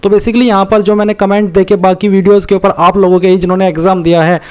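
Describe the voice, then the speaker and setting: An Indian man with a high-pitched, smooth and clear voice presents an informal online explainer. He speaks straight to his viewers in a conversational way, circling back over his points and mixing in English words. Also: flat delivery, fast, darkish tone. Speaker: YouTube host giving exam information.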